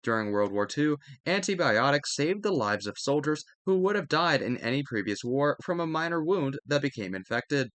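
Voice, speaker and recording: A man's voice: a little high, expressive, moderate pace, few pauses, fairly bright tone, dry recording. A man reading a textbook aloud as a study-video host, stumbling over words now and then and correcting himself.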